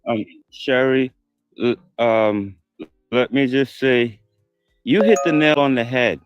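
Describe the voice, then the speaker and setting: A man's voice: mid-range voice, slow, smooth, dry recording, lo-fi sound, soft background music. A man moderating an online panel, speaking in an emphatic, reassuring way.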